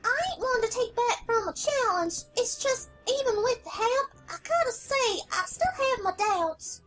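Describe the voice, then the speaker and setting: Really high little southern farm filly, unsure n folksy, music playing behind. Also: female voice, expressive, moderate pace. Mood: doubtful.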